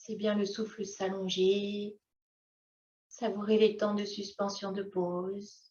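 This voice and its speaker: A woman yoga teacher guiding breathing exercises in a gentle manner, with long pauses and drawn-out phrases. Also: medium pitch, flat delivery. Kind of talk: guided yoga breathing instruction. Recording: echoey room, slightly dull sound.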